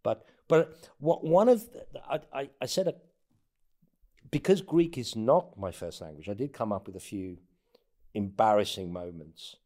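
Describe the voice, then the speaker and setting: A man speaking as an interview guest in casual anecdotal talk, often halting and repeating words as he goes. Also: on the low side, mellow. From born in North Africa, has lived in England and the United States. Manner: halting, stammered repetitions of words. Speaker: interview guest, former presidential candidate.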